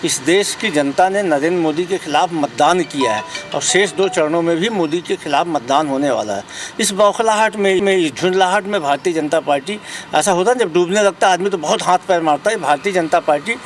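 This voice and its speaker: Emphatic high-voiced man over faint music. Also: animated, a little fast. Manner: emphatic, repetitive oratory. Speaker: politician, party spokesman. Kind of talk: political press statement.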